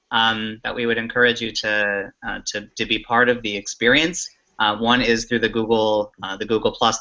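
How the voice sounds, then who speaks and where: A middle-aged man co-hosting a casual talk show over a video call, phrasing things haltingly with dry, self-deprecating humour. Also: fairly low pitch, sharp tone. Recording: dull lo-fi online call audio.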